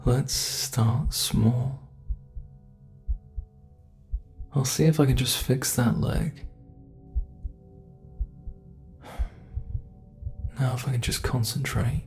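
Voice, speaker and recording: A sadistic monster from under the bed, voiced by a British man, lingering over every word at an unhurried crawl. The voice is gravelly and mid-pitched, gloating and menacing, and it keeps dropping into hushed whispers for ASMR horror. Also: fairly even intonation, soft background music, natural voice. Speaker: voice actor.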